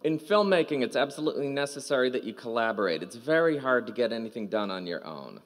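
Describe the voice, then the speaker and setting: A man's voice: medium pitch, very animated, quick pace. A middle-aged man giving an informal ceremony speech as a department speaker. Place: large indoor auditorium. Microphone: podium microphone. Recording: reverberant hall, podium microphone.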